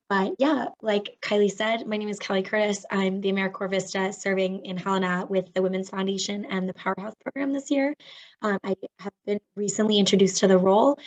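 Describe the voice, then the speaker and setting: A woman with laryngitis speaks over a video call, her voice hoarse. She introduces herself in a casual, slightly apologetic way, with a few ums. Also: mid-range voice, rough recording. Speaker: AmeriCorps volunteer helping the webinar.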